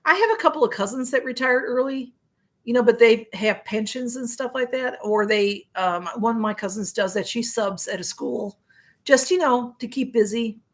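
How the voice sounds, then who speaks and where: A woman's voice: fairly high pitch, normal speed. A woman in her sixties chatting casually on a live stream, reading viewer comments aloud, rambling with lots of fillers and frequent laughs. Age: 60s. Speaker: livestream host.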